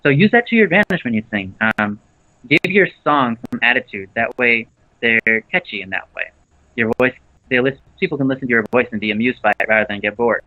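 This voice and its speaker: A man's voice: dry recording, phone-quality sound. Rambling man on a livestream. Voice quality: naturally low voice. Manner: rambling, with many fillers and restarts. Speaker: livestream co-host. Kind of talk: casual livestream chat.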